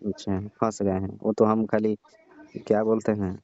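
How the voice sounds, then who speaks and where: A man playing a cocky, boastful son-in-law with a deep voice. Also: flat delivery, normal speed, darkish tone, natural voice. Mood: probing. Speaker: comedy call actor. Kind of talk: staged comic phone call. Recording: dull lo-fi phone call recording.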